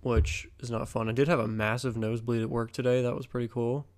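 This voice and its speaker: A man's voice: medium pitch, flat delivery, quick pace. A young man co-hosting a podcast in casual chat, with a stuffy, congested nose and a laid-back, joking way of talking. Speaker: podcast co-host.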